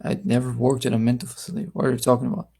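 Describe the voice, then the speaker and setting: Man, gravelly voice, methodical debater in voice chat. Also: medium pitch, monotone, very fast, a little mellow. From Brazil. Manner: argumentative, explains step by step. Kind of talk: heated online voice-chat debate.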